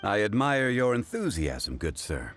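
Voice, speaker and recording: A very deep-voiced, scholarly gentleman from a video game. He speaks formally and courteously, composed yet with flowing, varied intonation. Music plays clearly behind him. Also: very animated, unhurried. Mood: modest, composed.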